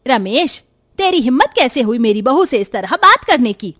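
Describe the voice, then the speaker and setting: A woman's voice: high voice, sing-song, moderate pace, fairly bright tone, dry recording, clean studio sound, heavily acted. A woman voicing a greedy middle-aged mother-in-law in a moral cartoon story, with a melodramatic delivery. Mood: indignant.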